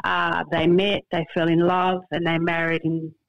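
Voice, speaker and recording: An Australian woman in her fifties, a barrister being interviewed, speaking in a measured, halting way with many fillers and repeated words. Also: fairly low pitch, little pitch movement, vocal fry, dry recording, lo-fi sound. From Darwin, Northern Australia. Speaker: barrister and guest. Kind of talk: podcast interview. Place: remote, from her hometown.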